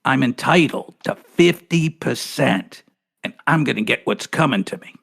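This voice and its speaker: A man voicing a blunt, slangy, gruff crook in an old-time radio drama. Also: mid-range voice, animated, moderate pace, a bit bright, exaggerated voice. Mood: angry, defiant.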